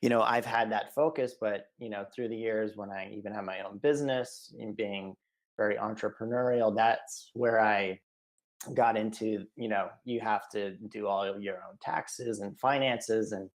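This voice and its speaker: A hoarse man gives a casual interview answer in a lowish voice. He rambles, restarting sentences and leaning on fillers. His voice is wearing out, and he clears his throat now and then. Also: steady tone, normal speed, rough recording. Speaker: marketing director, podcast guest.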